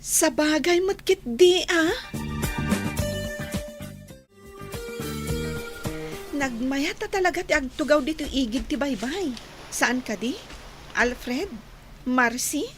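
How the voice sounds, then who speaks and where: A woman playing an earnest, gentle young village woman in love in an Ilocano radio drama. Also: high-pitched, a little slow, music in the background. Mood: pensive.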